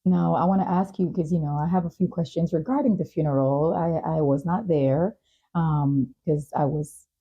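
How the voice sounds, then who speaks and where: A woman's voice: low voice, fairly even intonation, normal speed, warm tone, breathy edge, lo-fi sound. A woman hosting a talk show, speaking empathetically in an interview conversation and affirming what the guest says. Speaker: talk show and podcast host.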